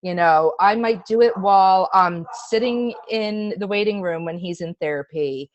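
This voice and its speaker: A woman's voice: medium pitch, normal speed, highs cut off. A middle-aged woman giving a casual, chatty personal testimonial in an earnest, emphatic way. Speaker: testimonial speaker.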